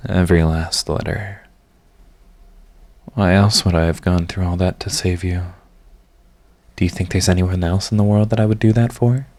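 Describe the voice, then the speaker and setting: Casting: man to act lovestruck villain for romantic audio roleplay; deep register, tender under the pride, confessional, easily flustered. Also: a little mellow. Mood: earnest, defiant.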